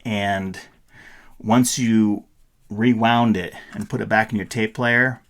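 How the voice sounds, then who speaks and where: A man's voice: fairly low pitch, fairly even intonation, slow pace, fairly bright tone. A middle-aged man giving a casual, playful, rambling explanation in a show-and-tell vlog style. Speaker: vlogger.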